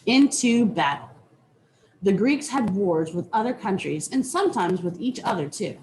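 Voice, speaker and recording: A woman reading a children's nonfiction book aloud with deliberate, teacherly phrasing. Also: mid-range voice, darkish tone, slight background noise, rough recording. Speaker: reader.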